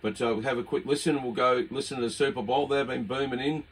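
An older man with an Australian accent giving easygoing, halting, amused commentary on his radio hobby. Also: medium pitch, steady tone, slightly rough. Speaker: radio hobbyist presenting his own video. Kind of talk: casual unscripted commentary. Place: indoors at a home radio desk. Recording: noisy room with radio static. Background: CB radio hiss and static.

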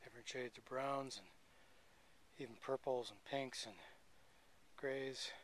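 A man's voice: mid-range voice, steady tone, a little slow, a bit bright, a little hiss or hum. A man vlogging on a hike through a canyon, admiring the scenery aloud, recorded outdoors on a handheld camera. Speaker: travel vlogger. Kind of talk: filming while hiking.

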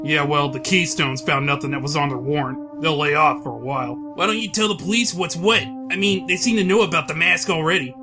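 A man as a high-voiced streetwise wiseguy. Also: brisk, few pauses, over music, close to an everyday voice. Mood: scheming. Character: wisecracking, streetwise young male schemer. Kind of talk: comic book audio drama.

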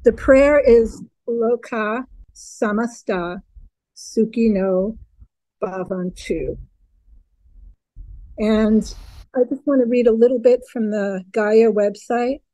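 An older woman with a hoarse voice and a slight cough, offering a heartfelt prayer and chanting a mantra over a video call from home. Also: a little high, slow, long pauses, dark tone, no room sound, poor audio. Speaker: guest minister. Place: home room, indoors. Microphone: video call, phone held close.

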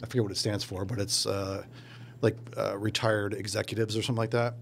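Fast-talking, blunt man host. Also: fairly low pitch, creaky, a little hiss or hum. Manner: relaxed, blunt, swears casually. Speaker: podcast host. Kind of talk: casual chat. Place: small studio with painted mural. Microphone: boom mic on arm.

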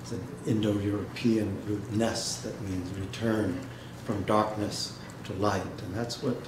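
A man giving a dramatic literary reading and talk to an audience, speaking in a measured way with pauses. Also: fairly low pitch, fairly even intonation. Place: indoor hall, seated before an audience. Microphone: microphone on a stand, recorded by a distant camera. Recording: echoey room, noisy background.

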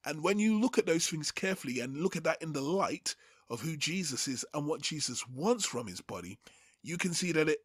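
Higher-voiced man teaching the Bible deliberately. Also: dry recording, clean studio sound. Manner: deliberate, emphatic, phrase-by-phrase delivery with rhetorical questions. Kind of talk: sermon-like Bible teaching over slides.

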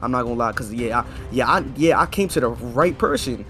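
A man's voice: medium pitch, a little fast. A man trading casual gaming banter in slang, repeating his phrases for emphasis. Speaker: gamer. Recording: loud music behind. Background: music.

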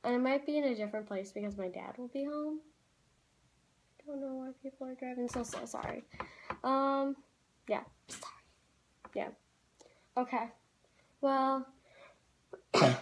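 A teenage girl vlogging from a room at home, rambling in a casual, chatty way with lots of "like"s and quick apologies. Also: fairly high pitch. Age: teens. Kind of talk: casual chat to camera. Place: small room at home, indoors.

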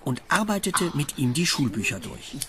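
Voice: mid-range voice, very animated, normal speed, crowd noise. A narrator reading scripted storybook narration in a children's radio play.

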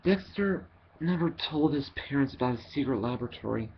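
A young man reading scripted dramatic lines as an amateur voice actor, haltingly and with repeated words, into a headset microphone at home. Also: medium pitch, animated. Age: young adult. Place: wood-panelled living room at home. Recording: dull headset audio with background hiss.

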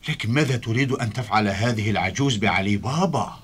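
A man's voice: on the low side, expressive, fast talker, breathy edge. A man voicing a kindly, cautious old traveller in a dubbed cartoon, earnest and avuncular. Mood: concerned. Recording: loud music under the voice.

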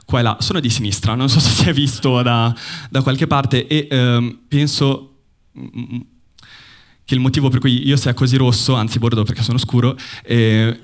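Young man, from Bologna, stand-up comic, deadpan, ironic, handheld mic, theater stage, middle pitch. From Bologna, Italy. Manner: deadpan, ironic delivery with pauses for laughs. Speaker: stand-up comedian. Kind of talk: stand-up comedy set. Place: theater stage before a live audience.

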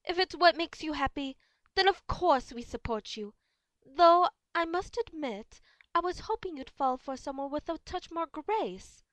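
A woman's voice: high-pitched, animated, a little slow, fairly bright tone, no echo. A woman voicing a refined, dramatic, doting female unicorn in an amateur audio drama. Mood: affectionate, haughty. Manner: genteel, dramatic.

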